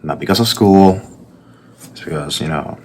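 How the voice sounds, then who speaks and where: Very deep-voiced Puerto Rican man rambling. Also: moderate pace, creaky, a little mellow, a little hiss or hum. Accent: Puerto Rican Spanish. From Puerto Rico. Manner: rambling storytelling with restarts and asides. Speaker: artist narrating his sketchbook process. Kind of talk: casual voice-over commentary.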